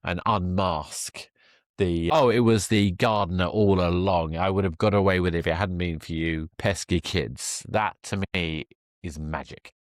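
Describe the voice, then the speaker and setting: Deep-voiced man hosting a podcast. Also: fairly even intonation, measured pace, no room sound, studio quality. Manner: enthusiastic, affirming. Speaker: podcast host interviewing a guest. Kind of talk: conversational podcast interview.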